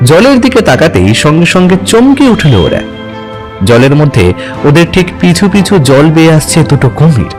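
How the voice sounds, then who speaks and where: A man's voice: a little high, a little mellow. A man narrating adventure fiction as a dramatic, scripted audio story.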